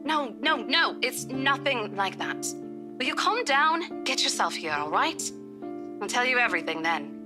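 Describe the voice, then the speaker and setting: A woman voicing a brisk, bossy young female coworker in a visual novel. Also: a little high, sing-song. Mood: firm, reassuring. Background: background music.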